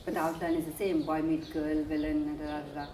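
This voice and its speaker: A woman's voice: low-pitched, flat delivery, fairly quick, mellow, a little hiss or hum. A woman interviewing a guest with probing questions, often hesitating and restarting her sentences with fillers. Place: indoor room in a residential building. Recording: echoey room, archival video.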